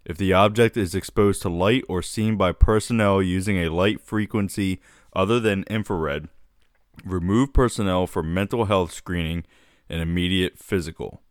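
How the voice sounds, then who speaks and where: A man's voice: low voice, normal speed, dry recording, studio quality. A man co-hosting a podcast in a casual, laid-back way, often calling his co-host dude.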